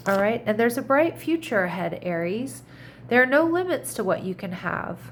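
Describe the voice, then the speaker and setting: A woman's voice: on the high side, very animated, moderate pace, slight background noise. A slightly congested woman gives a casual tarot reading to camera, speaking in a chatty, reassuring way with frequent restarts as she thinks aloud. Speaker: tarot reader.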